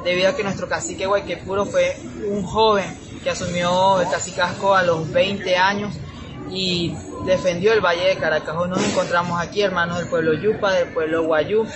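A man's voice: sits high, flat delivery, normal speed, background noise. A young man giving a rallying public address as a youth activist. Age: young adult.

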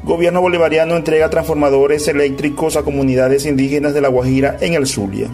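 A man's voice: a little high, fairly even intonation, quick pace, creaky, warm tone, faint music behind. A man presenting the news as anchor, announcing in a proud, formal way.

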